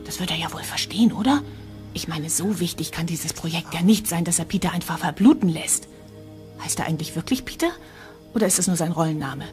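A woman's voice: lowish voice, a little fast, darkish tone, soft background music, lightly acted. An actress voicing a worried young woman in an audio drama, urgent and often whispering.

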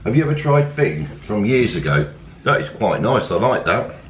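A man's voice: lowish voice, sing-song. Chatty British man in an echoey kitchen. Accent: British English. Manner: chatty, reads labels aloud, quick asides. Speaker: family vlogger. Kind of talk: casual family vlog, tasting snacks. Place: home kitchen, indoors. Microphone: camera close to face. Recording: echoey kitchen with background noise.

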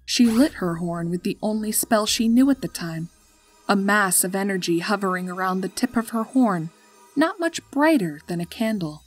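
A woman's voice: medium pitch, lively intonation, moderate pace, slightly rough, rough recording. A woman narrating a scripted story as its storyteller. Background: music.

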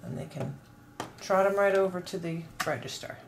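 A woman's voice: lowish voice, normal speed, gravelly, slight background noise. A woman casually demonstrating a toy playset, explaining each piece as she handles it. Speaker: toy reviewer.

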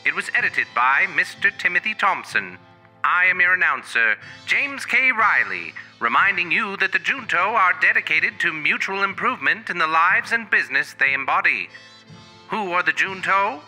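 A grand, formal announcer from an old radio show. His voice is piercingly bright and high, swinging theatrically over a music bed. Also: big pitch swings, normal speed, heavily acted, rough recording. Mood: grandly formal.

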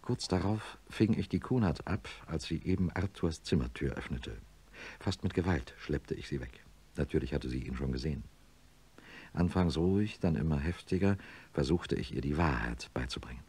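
A very deep, husky man's voice as a middle-aged neurosurgeon in a radio play. He is grave and troubled, telling his story like a confession. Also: expressive, normal speed, a little mellow, full character voice. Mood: sombre, confessional. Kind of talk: radio drama narration and dialogue.

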